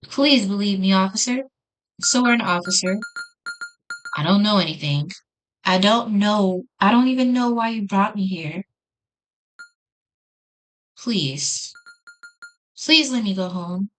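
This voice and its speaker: A woman's voice: mid-range voice, expressive, slow pace, long pauses. A woman reading visual novel text aloud as a gaming host, in an informal way with casual reactions. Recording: music behind the voice.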